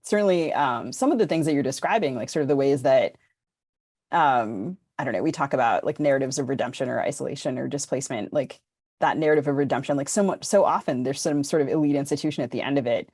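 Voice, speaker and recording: A woman hosting a podcast, talking casually about books in a halting way with lots of filler words. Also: extremely low pitch, darkish tone, dry recording, studio quality. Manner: halting, filler-heavy, frequent "like". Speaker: podcast host. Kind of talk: casual literary discussion.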